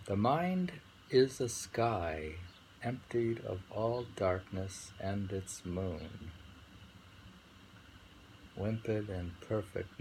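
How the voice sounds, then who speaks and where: A man reading poetry aloud and discussing it informally, in an unrehearsed, halting way. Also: fairly low pitch, a little mellow, rough recording. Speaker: poetry reader and host. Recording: faint background ambient noise.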